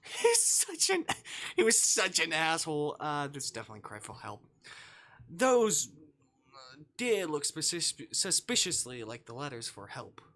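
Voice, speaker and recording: A male streamer reading a visual novel aloud dramatically, with expressive, exasperated delivery and asides of his own. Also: a little high, unhurried. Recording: faint music behind the voice.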